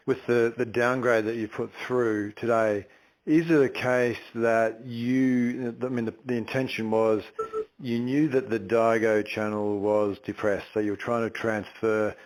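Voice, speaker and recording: A man's voice: lowish voice, a little mellow, poor audio. A man speaking as a financial analyst on an earnings call, asking probing questions informally and rephrasing as he goes.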